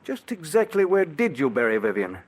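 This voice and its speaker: A haunted man in a 1940s radio drama. His voice sits somewhat high and moves with wide, expressive swings. He broods at first, then presses his demands with cold insistence. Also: fairly quick. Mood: accusing. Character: haunted, guilt-ridden wealthy man Philip. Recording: old radio broadcast recording.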